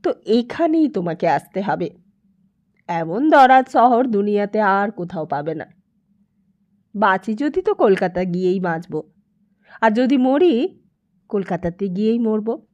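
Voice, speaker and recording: A woman narrating a literary story as an audiobook, in an expressive, emphatic, dramatic way. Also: medium pitch, measured pace, long pauses, highs cut off.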